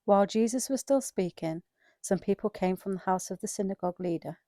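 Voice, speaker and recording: A woman's voice: medium pitch, a little fast, dark tone, no room sound, studio quality. A woman giving a scripted narration of a dramatized Bible reading.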